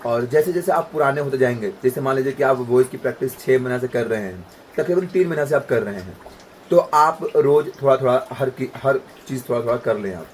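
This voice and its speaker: A man's voice: mid-range voice, fairly quick, a little hiss or hum, rough recording. A man giving an informal voice practice lesson, explaining with everyday examples and checking in with the listener. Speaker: voice practice coach. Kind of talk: informal instructional talk with demonstrations.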